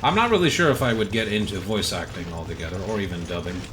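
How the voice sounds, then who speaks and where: A man with a low, bassy voice rambling casually as he streams a video game live. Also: animated, quick pace, noisy background. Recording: music behind the voice. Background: game music.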